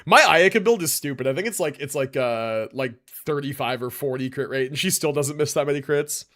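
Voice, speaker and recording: A man hosting a livestream, casually reviewing game builds in relaxed, slangy talk as he thinks aloud. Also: mid-range voice, brisk. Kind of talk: casual gaming livestream commentary.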